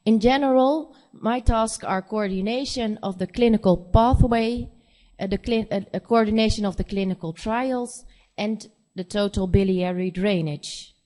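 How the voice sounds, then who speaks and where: A woman with a Dutch accent, a nurse practitioner giving a conference presentation in English, her second language, speaking haltingly with many fillers. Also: mid-range voice, mellow. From Netherlands. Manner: hesitant, halting speech with frequent fillers.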